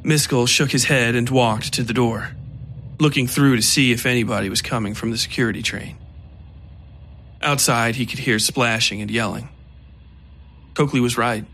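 A man reading scripted dark fiction as the narrator. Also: medium pitch. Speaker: narrator and writer. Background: guitar music.

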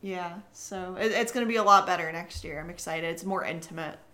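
A woman's voice: on the low side, brisk, sharp tone. A chatty woman in her twenties telling stories casually, with frequent giggles. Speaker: special guest on a podcast Q&A. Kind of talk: casual chat and storytelling.

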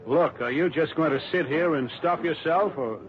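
A man's voice: fairly high pitch, moderate pace, crowd noise, lightly acted. An actor playing a grumbling, exasperated insurance man in a 1960s radio drama. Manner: grumbling, insistent. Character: fretful, exasperated insurance company man, male. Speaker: insurance official in a detective drama. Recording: muffled vintage radio broadcast recording.

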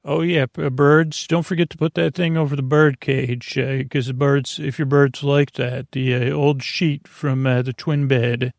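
Man, creaky voice, dry close sound, halting rambling sleep-podcast monologue, gentle deadpan silliness. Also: mid-range voice, no room sound. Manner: meandering, halting, often losing his thread; gentle, silly deadpan humor. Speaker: podcast host.

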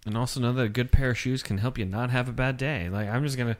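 Joking male podcast host with a speech impediment. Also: mid-range voice. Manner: joking, rambling, quick asides. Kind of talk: casual podcast chat.

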